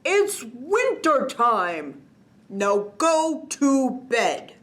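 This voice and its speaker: A woman voicing an exasperated old male winter spirit in a children's storybook, giving drawn-out, emphatic commands. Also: sits high, very animated, full character voice.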